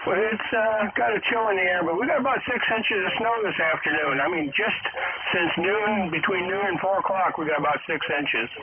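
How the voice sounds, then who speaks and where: A man from the northern United States chatting casually over ham radio, in a folksy, unhurried way. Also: high-pitched. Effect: radio transmission. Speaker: ham radio operator calling in. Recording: narrow radio link, very loud static.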